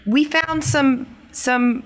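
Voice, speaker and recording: A woman's voice: sits high, steady tone, very slow. A woman voicing a sheepish, nervous youngster who explains things in a hesitant, rambling, evasive way.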